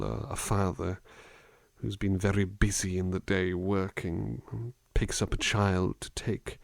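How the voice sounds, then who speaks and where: A man acting as a gentle, attentive butler for an ASMR roleplay. He speaks very slowly in a low voice, close and dry on the mic, with a touch of gravel. His manner is polite and musing, often hesitant as he rambles softly to the person in his care. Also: no room sound, heavily acted. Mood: pensive. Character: gentle, musing, attentive male butler looking after someone. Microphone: close dry microphone.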